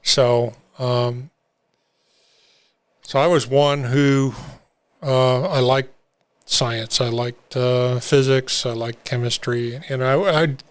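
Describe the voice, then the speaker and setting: An older man telling his own recovery story in an interview, talking haltingly with many fillers and restarts. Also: medium pitch, fairly even intonation, clean tone. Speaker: interview guest. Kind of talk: reflective personal story.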